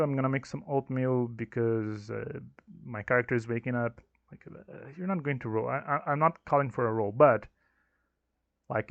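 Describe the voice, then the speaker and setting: A man's voice: medium pitch, no room sound, highs cut off. A young man who speaks English as a second language, casually explaining his own tabletop game system and thinking aloud as he goes. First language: not English. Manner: rambling, self-correcting, thinking aloud.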